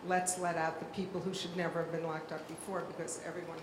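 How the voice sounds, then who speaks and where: A woman's voice: fairly low pitch, flat delivery, fast talker, noisy background. A woman in her sixties speaking passionately and emphatically as a panelist at a public talk. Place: large hall at a public event. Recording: echoey hall, live audience ambience.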